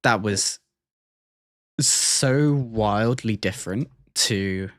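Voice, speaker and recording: Slow, halting man reacting on a livestream. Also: fairly low pitch, dry recording, studio quality. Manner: hesitant, halting, repeats words while thinking aloud. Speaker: livestream host. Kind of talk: casual live reaction commentary.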